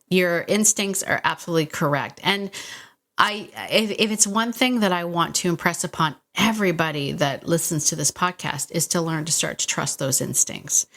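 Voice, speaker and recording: Female podcast host, slightly low voice. Informal, encouraging, chatty solo delivery that wanders and doubles back. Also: fairly bright tone. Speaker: podcast host and dog trainer. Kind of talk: casual solo podcast talk addressed to the listener.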